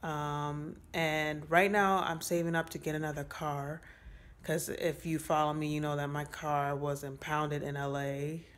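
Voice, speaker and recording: A woman in her late twenties talking to her phone camera in a small room, giving a casual, rambling update with many pauses and fillers. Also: low voice, flat delivery. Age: 20s. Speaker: vlogger. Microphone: phone held close at arm's length.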